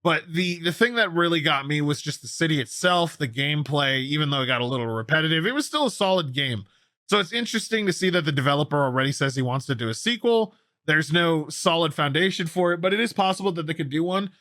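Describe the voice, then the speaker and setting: Male gaming news host: forceful bright tone, slightly high voice, opinionated and casual, repeats for emphasis. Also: expressive, fast talker, dry recording, clean studio sound.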